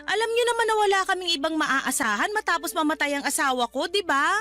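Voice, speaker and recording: A woman's voice: very high-pitched, animated, fast, pushed and bright, faint music behind, full character voice. A woman playing a young Filipino widowed mother in a radio drama, respectful but firm as she defends her children. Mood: pleading, defensive.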